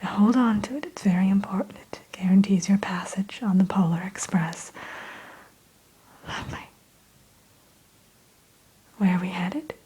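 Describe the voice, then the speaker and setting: A woman's voice: medium pitch, fairly even intonation, a little slow, pauses often, mellow, slightly rough, rough recording. A woman playing a friendly, welcoming train greeter in an ASMR roleplay, whispering in a polite, reassuring, chatty way. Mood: warm welcome. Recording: faint background hiss.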